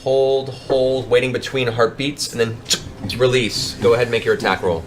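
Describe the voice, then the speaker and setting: A man's voice: mid-range voice, steady tone, moderate pace, a crowd in the background. A man narrating a tabletop fantasy game as game master, in a dramatic, improvised storytelling style. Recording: music behind the voice. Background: background music.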